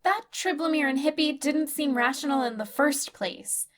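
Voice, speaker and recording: Woman playing a high, bright, brisk space lieutenant. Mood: wry, businesslike. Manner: brisk, wry, assertive. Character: brisk, teasing female space crew lieutenant in charge. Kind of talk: sci-fi audio drama.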